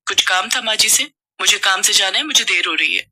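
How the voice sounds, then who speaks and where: A woman's voice: mid-range voice, a little fast, noisy background, close to an everyday voice. A woman voicing a harsh, dismissive wealthy housewife in a cartoon story. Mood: curt. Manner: curt, dismissive.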